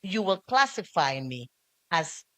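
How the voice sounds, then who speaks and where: A middle-aged woman, an actress on a panel, speaking deliberately in rhetorical questions. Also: lowish voice, very animated, a bit bright, no echo. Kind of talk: panel discussion remarks. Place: conference stage.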